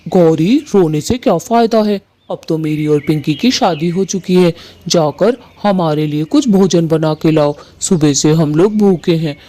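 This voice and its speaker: A man voicing Kalu, a harsh, bossy male bird husband in a cartoon who scolds loudly. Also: on the high side, unhurried, few pauses, natural voice. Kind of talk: Hindi cartoon voice acting.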